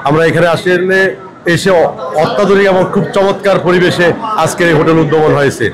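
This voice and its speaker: A man with a Bangladeshi accent giving halting, unscripted interview remarks. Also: sits high, rough recording. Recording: crowd noise behind.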